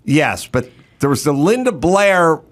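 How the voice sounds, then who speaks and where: A man's voice: on the high side, big pitch swings, a little slow. A middle-aged man hosting a podcast in a studio, telling rambling, digressive stories in casual chat on a studio mic. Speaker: podcast host. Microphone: studio mic with headphones.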